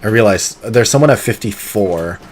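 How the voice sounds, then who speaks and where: Husky, excitable male game streamer. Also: lowish voice, normal speed. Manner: excitable and competitive, gasping and pleading at the game. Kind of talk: live gameplay commentary.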